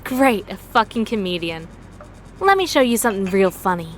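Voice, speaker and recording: A woman playing a cold, menacing female hunter in a noir audio drama, mocking and threatening in a deliberate way. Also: medium pitch, very animated, soft background music, close to an everyday voice. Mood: mocking threat.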